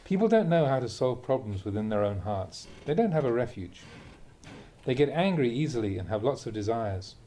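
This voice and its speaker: A man, a Buddhist monk, reads a translated spiritual talk aloud in a measured, emphatic way, posing rhetorical questions. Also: medium pitch, sing-song, warm tone, slight background noise. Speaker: Buddhist monk reading a teacher's talks aloud. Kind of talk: reading a translated Dharma talk aloud, with brief asides.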